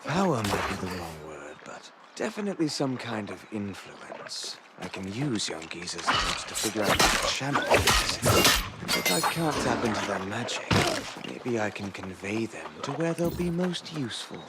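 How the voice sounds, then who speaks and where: Brooding, low-voiced royal loyalist. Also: male voice, big pitch swings, moderate pace, heavy background noise. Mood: brooding, resolute. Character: scheming, devoted male follower of a true king. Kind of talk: scripted video game quest dialogue.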